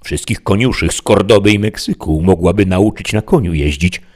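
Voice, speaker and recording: A man voicing an effusive male squire who flatters and pleads in a storybook reading. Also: deep voice, moderate pace, voice pushed up. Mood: excited.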